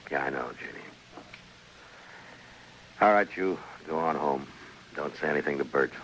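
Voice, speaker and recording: Very deep-voiced frontier marshal. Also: male voice, a bit bright, poor audio. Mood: calm, reassuring. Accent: American. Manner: terse, unhurried, authoritative. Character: stern, steady frontier US marshal. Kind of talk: old-time radio western acting. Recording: old radio recording, faint hiss.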